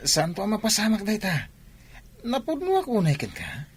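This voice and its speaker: An actor with an Ilocano Filipino accent playing a scheming young driver and kept lover in a radio drama. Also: male voice, high voice, big pitch swings, measured pace, slight background noise. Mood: sweet-talking.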